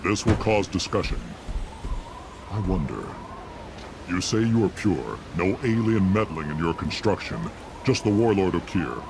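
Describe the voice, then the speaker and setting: A man playing a disdainful, calculating alien clan leader in a video game, with a deep, gravelly voice and a condescending manner. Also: lively intonation, unhurried, noisy background, exaggerated voice. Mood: grudging, calculating. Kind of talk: dramatic video game acting.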